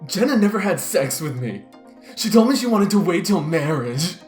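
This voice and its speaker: A woman's voice: lowish voice, big pitch swings, normal speed, darkish tone. A woman voicing a naive, easily hurt young man in an amateur comedic reading, earnest and incredulous. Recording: roomy echo with music behind.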